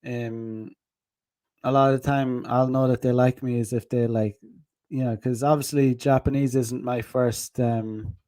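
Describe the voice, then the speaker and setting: A man's voice: mid-range voice, little pitch movement, unhurried, mellow, dry recording. Guy rambling on his stream, tons of fillers and laughs, mid voice, dry sound. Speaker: dating advice streamer. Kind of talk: casual rambling livestream talk.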